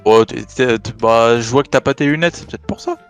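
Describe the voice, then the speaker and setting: A man's voice: medium pitch, fairly quick, clean tone, soft background music. Stammering man asking probing questions. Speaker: player. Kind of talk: in-character tabletop role-play.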